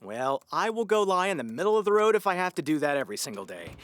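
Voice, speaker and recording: An actor playing a grumbling, dramatic radio host in a comedy audio drama, weary and sarcastic. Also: male voice, on the high side, very animated, quick pace, a bit bright. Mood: exasperated. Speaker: radio station host character.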